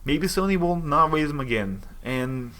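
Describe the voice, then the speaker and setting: A man's voice: on the high side, lively intonation, normal speed, a bit bright. A young man giving casual, opinionated gaming commentary, rambling and restarting his sentences as he thinks aloud.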